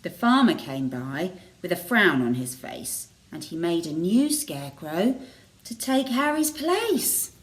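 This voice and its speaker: A woman reading a picture book aloud expressively, with chatty asides to young listeners. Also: fairly low pitch. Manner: expressive, deliberate.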